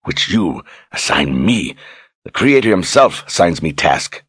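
A pompous, menacing warlord speaks in a very low, husky growl with rustic grammar, as a male narrator plays him. The reading is dry and close. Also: very animated, measured pace, a bit bright, dry recording, full character voice. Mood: indignant. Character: pompous zealous male lord general, menacing.

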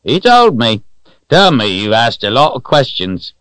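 A man's voice: mid-range voice, wildly swooping pitch, measured pace, a bit bright, clean tone, no echo, pitched above the performer's own voice. A man voicing a jolly, teasing old man in an audiobook, with a hearty, folksy manner. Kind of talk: audiobook character voice.

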